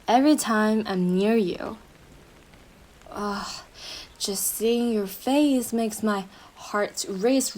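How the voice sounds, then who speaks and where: A woman's voice: mid-range voice, a little mellow, close to an everyday voice. A woman playing an obsessive, possessive girlfriend in a roleplay audio drama, cooing endearments to the listener with a sweet but menacing manner. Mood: adoring, intense.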